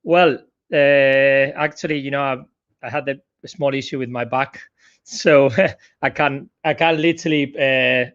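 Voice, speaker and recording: Spanish-accented man, relaxed chat. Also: mid-range voice, measured pace, clean tone, highs cut off. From Spain. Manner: hesitant, many fillers, laughs often. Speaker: guest digital marketer. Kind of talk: casual live-stream chat. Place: home sofa.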